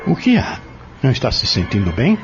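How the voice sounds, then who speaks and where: A man's voice: mid-range voice, huge pitch swings, brisk, faint music behind. A man playing a self-assured, courteous travelling salesman in an audio soap opera, speaking formally and probingly. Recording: dull lo-fi audio soap recording.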